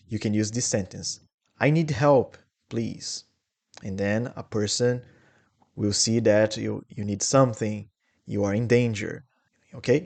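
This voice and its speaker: A young man with a Brazilian Portuguese accent teaching English travel phrases slowly and clearly, repeating each model sentence. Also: medium pitch, a little mellow. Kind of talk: English lesson.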